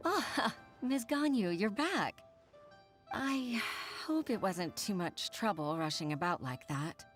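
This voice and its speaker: A woman's voice: medium pitch, lively intonation, slow pace, soft background music. A woman voicing a polite, earnest townswoman in a video game.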